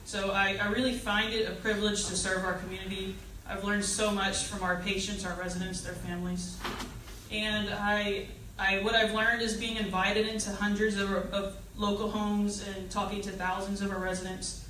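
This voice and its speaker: A middle-aged woman introduces herself as a candidate at a public forum, speaking in a halting, personable way. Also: medium pitch, flat delivery, fairly bright tone. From Fayette County, Texas, United States. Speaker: political candidate. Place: public meeting hall, indoors. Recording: distant echoey room, faint noise.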